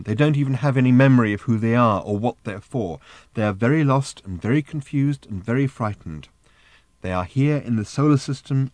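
A man voicing a calm, earnest mother in a story, quietly and persistently pleading with her daughter. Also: medium pitch, dry recording, natural voice.

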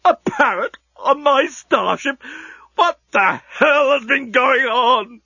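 An old male genius, vain and melodramatic, for a storybook. The voice leaps high and strains with a husky edge, every word heavily emphatic. The audio is a little dull. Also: expressive, slow pace, sharp tone, no room sound, full character voice. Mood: furious, outraged. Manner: histrionic, emphatic.

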